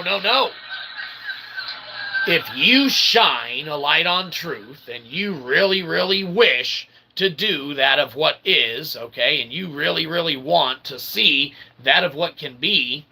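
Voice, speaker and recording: A man giving a rambling, informal monologue as a channel host, verbose and repetitive, with mock-grandiose convoluted phrasing and frequent check-in tags. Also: fairly high pitch. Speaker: host of an independent online channel. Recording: clear background ambient noise.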